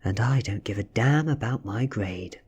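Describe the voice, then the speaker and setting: A British man voicing an ancient female vampire posing as a schoolgirl, soft-spoken, coy and taunting, in an audiobook reading. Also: fairly low pitch, animated, moderate pace, slightly rough, highs cut off. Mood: flippant.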